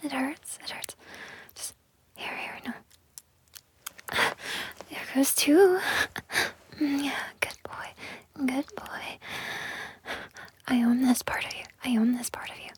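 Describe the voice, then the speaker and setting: Woman whispering really slow, airy high voice, coaxing and possessive. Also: no room sound. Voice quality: breathy whisper. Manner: slow, coaxing and possessive, repeating soft reassurances. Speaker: audio roleplay performer. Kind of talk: whispered intimate audio roleplay.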